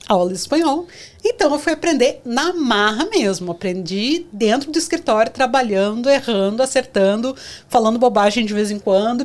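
Animated southern Brazilian woman, higher voice. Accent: southern Brazilian (gaúcho). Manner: animated storyteller, acts out scenes. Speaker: guest, career counselor. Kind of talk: casual podcast interview.

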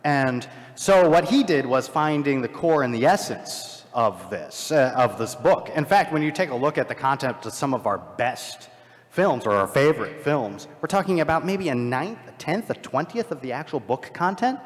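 A man giving halting, explanatory commentary on films and games as a panelist at a live event. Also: mid-range voice, animated. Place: large indoor convention panel room. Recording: echoey large hall, live panel sound.

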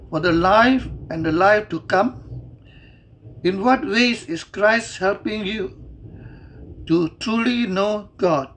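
A person reading a scripted Bible devotional and prayer in a measured, deliberate, reverent manner. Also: medium pitch, a bit bright.

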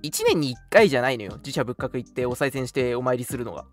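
Male, 20s, casual radio co-host, very animated mid-pitched delivery, music bed underneath. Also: fast. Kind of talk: casual banter between friends.

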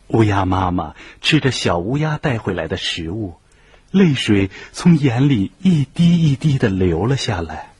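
A man narrating a children's radio drama in an expressive, scripted storytelling style. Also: fairly low pitch.

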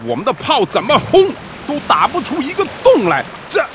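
An actor playing an exasperated, bombastic army commander in a radio drama, blustering and sputtering. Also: male voice, very high voice, huge pitch swings, a little fast, fairly bright tone, a little hiss or hum, exaggerated voice. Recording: music behind.

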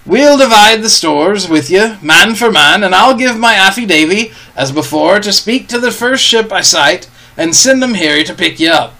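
A man voicing a gruff, peg-legged old pirate cook who bargains and threatens in rough sailor's talk. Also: high voice, animated, moderate pace, hardly any pauses, pitched above the performer's own voice, exaggerated voice, low-quality recording. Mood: bargaining. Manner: rough sailor's dialect, loud and blustering. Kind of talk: storybook reading.

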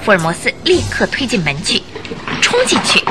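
A woman's voice: a little high, fairly quick. A woman narrating a radio detective drama, telling the story in a dramatic, suspenseful way. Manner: expressive, suspenseful delivery. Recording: music under the voice.

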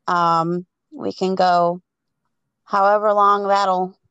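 Woman, podcast host, slow pace, casual improvised storytelling, halting phrasing, close dry sound. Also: medium pitch, level tone, a bit bright, smooth, no room sound, muffled recording. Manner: halting, pausing between phrases when improvising.

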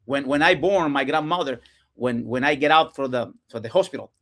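A man's voice: mid-range voice, fairly quick, fairly bright tone. A man from Cuba with a Cuban Spanish accent, speaking English as a second language, telling his story earnestly as a podcast guest and often restarting his sentences. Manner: earnest, with frequent restarts and non-native grammar. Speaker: guest, real estate entrepreneur. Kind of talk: casual podcast interview. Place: podcast studio.

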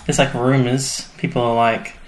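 A man's voice: mid-range voice, little pitch movement, normal speed, background noise. A man co-hosting a casual podcast as the resident fan, chatty and enthusiastic, speaking in loose, informal banter. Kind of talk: casual banter about a sci-fi series. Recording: roomy echo, faint music behind.

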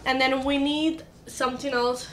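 A woman talks in a casual podcast chat. Her voice sits a little high for a woman, and she explains things at a quick clip, dropping English phrases into her Spanish as she goes. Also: fairly even intonation, slight background noise. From Cuba. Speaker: podcast co-host.

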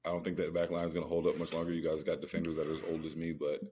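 Man, extremely low voice, quick pace, slangy casual sports podcast chat, repeats for emphasis. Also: monotone, warm tone, husky.

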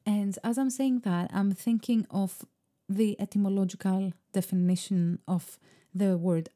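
A woman whose first language is Greek, speaking in a slow, meditative, musing way about creativity. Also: mid-range voice, steady tone, dark tone. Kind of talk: reflective spoken monologue.

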